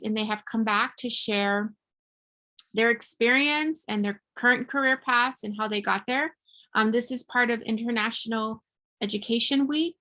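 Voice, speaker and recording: A woman hosting an online panel informally, speaking in a halting, enthusiastic way as if to participants on a video call. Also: on the high side, bright, forceful tone. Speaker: panel host, career office staff. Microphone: computer mic on video call.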